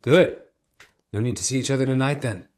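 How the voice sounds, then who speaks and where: An actor in an audio drama playing a cold, cruel, wealthy husband with a curt, biting, commanding manner. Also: male voice, mid-range voice, brisk, dry recording, clean studio sound, natural voice. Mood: cold, dismissive.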